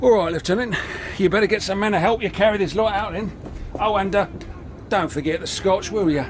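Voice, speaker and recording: An actor playing a chummy, wheeling-dealing army quartermaster sergeant in a radio drama, with an English accent and colloquial, knowing delivery. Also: male voice, sits high, fairly quick, background noise, natural voice. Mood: amused, surprised.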